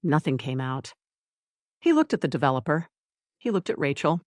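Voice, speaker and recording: A narrator reading a scripted dramatic story in deliberate short phrases with dramatic pauses. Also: medium pitch, very animated, no echo, studio quality. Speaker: audiobook narrator.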